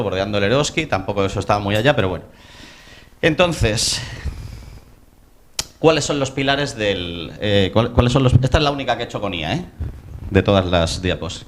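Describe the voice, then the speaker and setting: A man's voice: lowish voice, a bit bright. A man with a Spanish accent from Spain giving an informal conference presentation as an architect, colloquial and self-interrupting, with joking asides.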